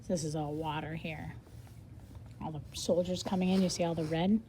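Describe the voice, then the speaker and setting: A woman reading a nonfiction book aloud as a lesson for children, expressively, pausing to explain things simply and think out loud. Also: deep voice, fairly even intonation, a little hiss or hum.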